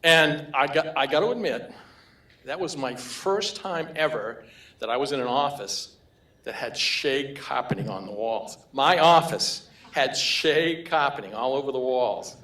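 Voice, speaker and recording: A man's voice: medium pitch, a little slow, fairly bright tone. An older man with a Maine accent giving a humorous award acceptance speech, telling anecdotes with chuckles. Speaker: award recipient. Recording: roomy hall echo.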